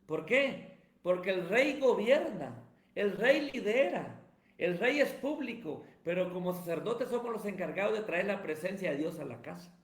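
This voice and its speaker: Emphatic high-voiced pastor. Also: male voice, lively intonation, normal speed. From Guatemala. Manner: emphatic, sermon-like cadence. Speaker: pastor and evangelist, guest. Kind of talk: preaching-style interview answers. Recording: roomy echo.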